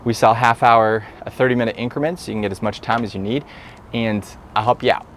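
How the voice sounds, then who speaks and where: A man with a mid-pitched, somewhat nasal voice talks shop in a casual, upbeat way. He keeps up a plainspoken running commentary while working. A faint hum of outdoor noise sits behind him. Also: steady tone, fast, fairly bright tone. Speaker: electrician and instructor. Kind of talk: casual on-the-job explanation.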